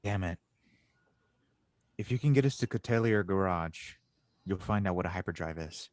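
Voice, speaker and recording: A man playing an anxious, sardonic young genius in an audio drama table read, with halting phrasing. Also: low voice, a little mellow, close to an everyday voice. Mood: reluctant. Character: anxious young male genius engineer, self-doubting and sardonic.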